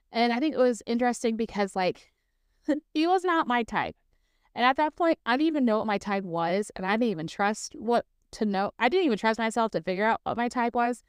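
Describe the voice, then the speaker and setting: A woman's voice: medium pitch, smooth, dry recording, studio quality. A woman around thirty hosting her own podcast, chatting casually and telling stories with lots of "like" and "um" and easy laughs. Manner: chatty, fills speech with "like" and "um"; laughs easily while talking. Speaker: podcast host. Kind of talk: casual solo storytelling.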